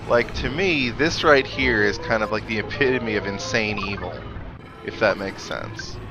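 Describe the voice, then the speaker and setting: A man's voice: on the low side, normal speed. A man doing playthrough commentary on a video game, reading game text aloud and musing in rambling asides. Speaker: let's play host. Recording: game music behind the voice. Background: video game music.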